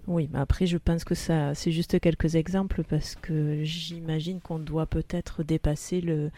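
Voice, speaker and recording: A man's voice: a little high, level tone, unhurried, warm tone. A man hosting a radio discussion, prompting guests and guiding the conversation. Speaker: radio host.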